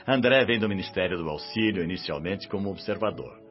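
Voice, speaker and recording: Radio drama minister: paternal, wise authority, very animated intonation, measured delivery, muffled recording with music. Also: male voice, low-pitched. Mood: welcoming, genial. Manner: paternal, welcoming, measured and sententious. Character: benevolent, wise government minister of the spirit world.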